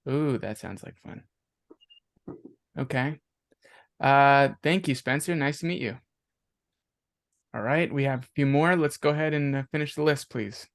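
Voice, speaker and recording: A man's voice: mid-range voice, unhurried, gravelly, long pauses, dry recording, clean studio sound. A man leading introductions at the start of an online class, giving short friendly replies over a video call. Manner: friendly, brief acknowledgments. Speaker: course instructor.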